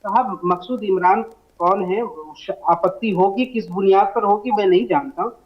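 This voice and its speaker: A man's voice: high voice, moderate pace. A man arguing methodically as a TV debate panelist, setting out his case point by point, on slightly dull audio. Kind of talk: argumentative TV debate.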